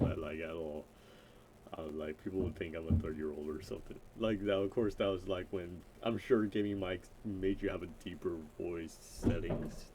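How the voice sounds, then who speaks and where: A deep-voiced young man thinking aloud in a solo gaming channel intro, hesitant and meandering, with faint noise in the background. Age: young adult. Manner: rambling, hesitant, self-interrupting. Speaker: gaming vlogger introducing himself. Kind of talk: unscripted casual chat.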